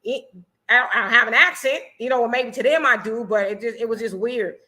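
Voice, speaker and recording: Bright-voiced American woman chatting. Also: a little high, brisk. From United States. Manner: animated, laughs often, reads comments aloud. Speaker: host. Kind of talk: casual chat reading viewer comments.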